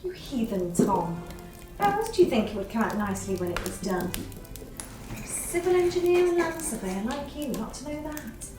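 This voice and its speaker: An actress playing a sweet, eager young Victorian sister with a playful indignant streak and genteel phrasing in a literary drama. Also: female voice, sits high, normal speed. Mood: playfully indignant. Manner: animated, genteel, old-fashioned phrasing. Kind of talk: stage-style literary radio drama acting. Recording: very echoey, distant, music behind.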